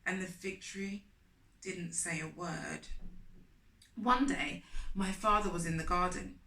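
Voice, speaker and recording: A woman reading aloud as a children's storytime host, with deliberate, emphatic, expressive phrasing. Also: fairly low pitch. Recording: roomy echo, distant microphone.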